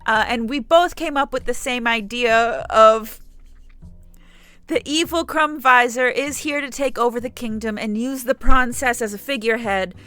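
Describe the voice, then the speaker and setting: A woman's voice: a little high, moderate pace, fairly bright tone, clean tone, no room sound, faint music behind. A young woman chatting casually as a game streamer, rambling and swearing now and then; her native language is Samayach. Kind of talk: casual gaming stream chat.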